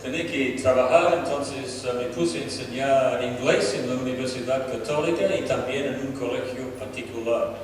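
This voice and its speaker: An older man, a native English speaker from Australia, gives a personal testimony as a guest preacher in a second language, deliberately and with searches for words, pausing for an interpreter. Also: medium pitch. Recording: echoey hall, distant mic, noisy.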